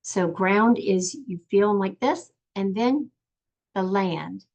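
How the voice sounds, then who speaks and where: An older woman patiently teaching young children in simple, step-by-step phrases, in the gentle style of a preschool lesson. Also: medium pitch, takes it slowly. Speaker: children's sign language and song teacher.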